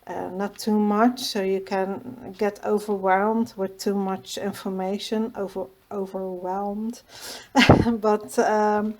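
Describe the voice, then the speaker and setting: A woman with a Dutch accent, a native Dutch speaker, hosting a casual crafting tutorial in a hesitant way, with many pauses and fillers and small laughs. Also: medium pitch, flat delivery, a little mellow. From Netherlands.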